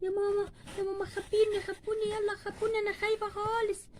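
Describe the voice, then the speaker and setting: A woman's voice: very high voice, steady tone, very fast, a little mellow, slight background noise. A woman voicing a frightened, clingy little girl in doll play, whiny and pleading, with an Egyptian accent. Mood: scared, pleading. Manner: whiny, pleading, repeats herself.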